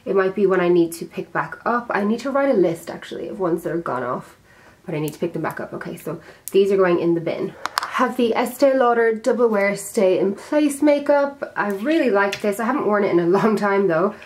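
A young woman vlogging casually at her makeup drawers, chatty and self-deprecating, her voice a little raspy from being sick. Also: medium pitch, lively intonation, a little fast, a little mellow, slight background noise. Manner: chatty, self-deprecating, talks while handling products. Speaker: beauty vlogger. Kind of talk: casual chat to camera.

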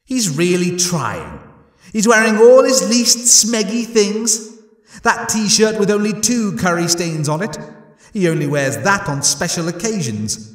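A man's voice: high voice, sing-song, measured pace, roomy sound, voice pushed up. A man voicing a vain, touchy, sneering male officer in an indignant, pompous manner for a comic audiobook. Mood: scornful.

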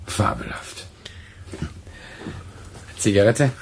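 A man acting a brisk, talkative, emphatic radio dramaturg in a German radio play. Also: lowish voice, gravelly, slight background noise, exaggerated voice. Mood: pleased, amused.

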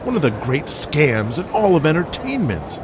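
A man's voice: fairly high pitch, big pitch swings, moderate pace, noisy background, voice pushed up. A man voicing a pushy, accusing television news reporter with an insistent, grandstanding manner. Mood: accusing, heated.

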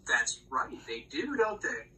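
A woman's voice: sits low, very animated, a little slow, pushed and bright, husky. A woman talking casually with a small child in a bedroom, encouraging and prompting with questions. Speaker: parent chatting with a young child. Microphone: handheld phone, close by.

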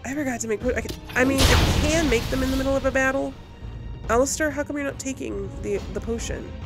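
A woman's voice: high-pitched, fast. A woman hosting a gaming video, thinking aloud in casual let's play commentary. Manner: thinking aloud, muttering to herself. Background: loud game music and combat sounds.

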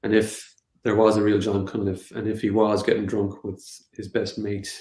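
Irish man with a flat, low voice. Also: moderate pace. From Connemara, west of Ireland. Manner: hesitant, many fillers and restarts. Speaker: film director. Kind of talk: casual interview answers. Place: home room, seated on a sofa. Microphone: video call webcam. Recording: echoey room.

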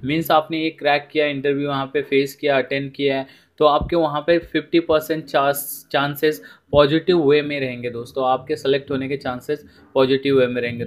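A man with an Indian accent giving an informal explainer voice-over, addressing viewers as friends, repeating himself and mixing in English words. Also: medium pitch. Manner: repetitive, often addresses listeners as friends, mixes in English words. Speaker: presenter of a job information video.

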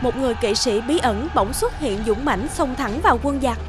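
A woman's voice: high-pitched, expressive, normal speed. A woman giving scripted fairy-tale narration as a cartoon's storyteller. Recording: music behind the voice.

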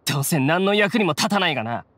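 An actor playing a defiant, rough-talking low-ranking bandit in a video game. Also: male voice, animated, fast talker, fairly bright tone, dry recording. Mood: grudging. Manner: rough, coarse, blustering speech. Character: defiant low-ranking bandit gang grunt, adult man. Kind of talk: Japanese game voice acting.

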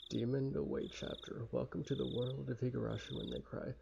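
A quiet, laid-back young man with a gravelly, often breathy voice, streaming a game with casual talk and soft reading aloud. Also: medium pitch, little pitch movement, brisk, noisy background. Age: young adult. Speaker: let's play streamer. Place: small indoor room with blinds.

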